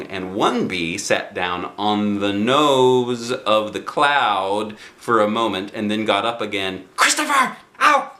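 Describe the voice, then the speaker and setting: Slow-reading man narrating a storybook. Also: lowish voice, a bit bright. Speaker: storybook reader. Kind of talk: children's book read-aloud narration.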